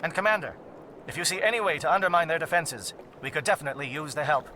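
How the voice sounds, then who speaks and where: A resolute male officer in a sci-fi video game, giving a clipped briefing. His voice is forceful and higher than average, moving fast. Faint noise sits behind it. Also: lively intonation. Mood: urgent, resolute. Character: male military officer, urgent, resolute, calling his commander.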